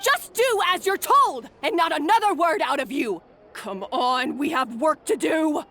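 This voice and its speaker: An actress playing a domineering head chef in a video game, imperious and scornful. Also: female voice, sits high, animated, unhurried, sharp tone, soft background music, exaggerated voice. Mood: furious. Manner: imperious, scornful, barking orders. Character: haughty, domineering female head chef, harsh boss.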